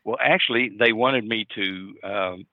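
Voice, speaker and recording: A man, a clinical psychologist co-hosting a podcast, explaining in a measured way and repeating points. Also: on the low side, dry recording, narrow, tinny audio.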